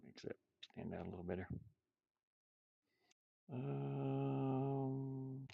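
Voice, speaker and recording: A man's voice: mid-range voice, drawn-out words, gravelly, dry recording, phone-quality sound. A man talking casually to himself as he draws, absent-minded, with playful sing-song asides. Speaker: game artist streaming a drawing session. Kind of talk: casual muttering while drawing.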